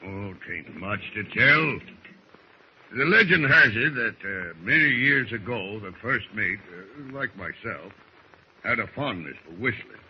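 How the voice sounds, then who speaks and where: An actor playing a salty old first mate with folksy nautical talk, in the manner of 1940s radio drama. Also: male voice, on the low side, lively intonation. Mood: ominous, storytelling. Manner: folksy nautical talk, slow storytelling with hesitations. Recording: muffled noisy old radio recording.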